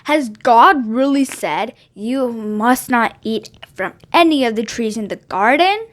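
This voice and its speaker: A woman voicing a sly male talking snake in a children's Bible story, with a smooth coaxing manner. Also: a little high, lively intonation, slow pace.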